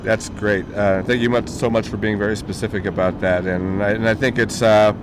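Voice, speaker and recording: A man hosting a casual interview, chatty and quick to laugh, from the American Southwest. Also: lowish voice, fairly even intonation. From Southwest US, northern New Mexico and southern Colorado. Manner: friendly, chatty, laughs easily. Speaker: interview host. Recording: noisy background with traffic.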